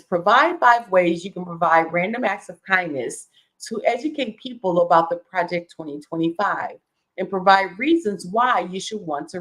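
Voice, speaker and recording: A woman's voice: mid-range voice, low-quality recording. Chatty woman reading a list aloud. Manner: reads list items aloud, chatty asides, self-corrections. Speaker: host reading a document aloud. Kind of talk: live reading with casual commentary.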